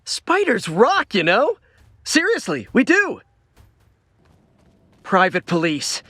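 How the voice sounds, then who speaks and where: A brash young punk hero from a video game. His voice is high, bright and lively, with casual, streetwise earnestness. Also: slow pace, no room sound, full character voice. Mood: enthusiastic. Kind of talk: video game character voice lines.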